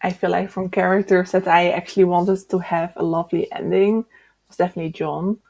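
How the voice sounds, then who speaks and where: A woman hosting a casual book discussion, opinionated and animated, often giggly as she talks. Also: fairly low pitch, brisk, vocal fry.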